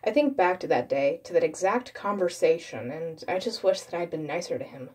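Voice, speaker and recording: Low-pitched woman narrating horror. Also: lively intonation, fairly quick, a little mellow, husky. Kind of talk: first-person creepypasta narration.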